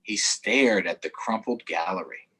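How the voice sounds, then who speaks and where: A man hosting a storytime for children, reading aloud and chatting directly to young viewers in an expressive way. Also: on the low side, measured pace, husky. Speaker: storytime host, councilmember. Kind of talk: children's read-aloud and live chat.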